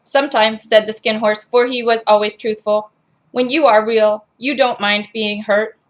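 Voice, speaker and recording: A woman's voice: on the high side, fairly even intonation, normal speed, close to an everyday voice. A woman voicing a robot that reads a children's storybook aloud in a robotic delivery. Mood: mechanical, measured. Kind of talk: scripted storybook narration.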